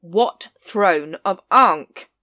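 A weary, gruff city-watch captain, exasperated with everything around him, as a woman reader puts him on. His voice sits low but swings up sharply when he demands answers. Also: animated, very slow, pushed and bright, no room sound, clean studio sound, exaggerated voice.

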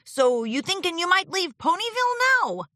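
Woman doing a grumbling, high-pitched male pony businessman. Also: big pitch swings, moderate pace, a bit bright, no echo, studio quality, full character voice. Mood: smug. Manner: grumbling, insistent.

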